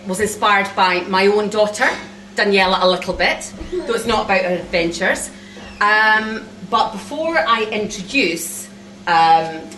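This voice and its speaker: Woman giving an echoey, halting thank-you speech. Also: mid-range voice, fairly bright tone. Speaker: author speaking at own book launch. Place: cafe event room, indoors. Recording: echoey room recorded from far off.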